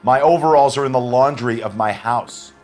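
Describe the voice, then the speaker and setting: A man playing an anxious, defensive working-class murder suspect in a crime video game, halting and pleading. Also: medium pitch, darkish tone, soft background music, lightly acted. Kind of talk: dramatic interrogation acting.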